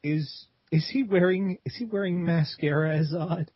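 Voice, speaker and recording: A man's voice: on the high side, moderate pace, a little hiss or hum. A man in his thirties joking and swearing freely in a casual group commentary. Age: 30s. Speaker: commentary guest. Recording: dull lo-fi online call audio.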